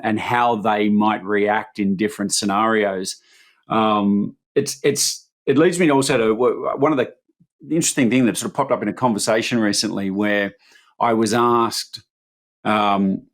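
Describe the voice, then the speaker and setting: A man hosting a business podcast, asking long, rambling questions full of ums and restarts. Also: on the low side. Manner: hesitant, rambling questions with many fillers. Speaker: podcast host. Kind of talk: conversational podcast interview.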